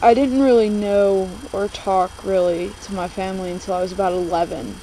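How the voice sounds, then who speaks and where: A young American woman around twenty talking casually and confessionally in a video blog, halting and subdued, recorded on a laptop. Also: mid-range voice, dark tone. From United States, born in New Jersey, moved to Virginia. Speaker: video blogger. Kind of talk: confessional casual vlog talk. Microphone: laptop microphone. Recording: noisy amateur laptop recording.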